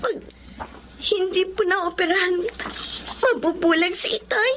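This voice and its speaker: A woman's voice: very high-pitched, unhurried, background noise. A woman playing a worried, respectful young child in a Filipino radio drama.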